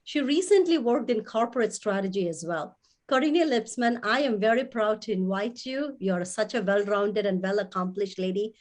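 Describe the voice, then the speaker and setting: A woman's voice: medium pitch, moderate pace. A woman host giving a prepared welcome and introducing her guest over a video call, in a formal, scripted manner. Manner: formal, reads from prepared notes.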